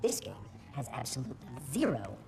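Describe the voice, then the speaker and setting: A woman's voice: extremely low pitch, huge pitch swings, brisk, gravelly, heavily acted. A woman playing a sarcastic, short-tempered tabletop gamer who rants theatrically in a comedy film. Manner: sardonic, theatrical ranting. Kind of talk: scripted comedy film acting.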